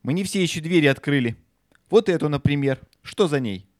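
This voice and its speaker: A man's voice: fairly high pitch, expressive, fairly quick, dry recording, lightly acted. A man playing an earnest, questioning boy, dry recording. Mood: curious. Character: Tyltyl, curious stubborn young boy on a quest. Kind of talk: audio play acting.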